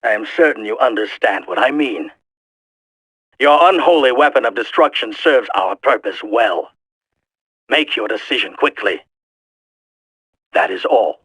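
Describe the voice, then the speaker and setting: Game villain, terrorist commander, tense sharp tone, medium pitch, formal, resolute, threatening. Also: male voice, expressive, measured pace, pauses often, breathy edge, no room sound. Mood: cold menace. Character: menacing, coldly resolute male terrorist leader. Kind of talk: video game villain monologue.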